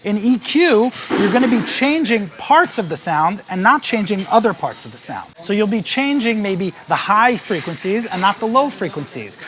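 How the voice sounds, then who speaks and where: A man teaching live sound setup in a music venue, explaining each gain stage calmly and speaking into a handheld vocal mic. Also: high voice, moderate pace, few pauses. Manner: calm, explanatory, stepping through each setting. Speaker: sound engineer and band leader teaching live sound. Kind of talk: hands-on tutorial with sung demonstrations. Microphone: handheld vocal microphone.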